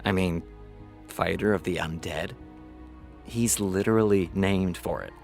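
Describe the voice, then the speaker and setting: A man's voice: deep voice, unhurried, gravelly, soft background music. A man playing a reflective, earnest show creator giving a solemn documentary-style interview.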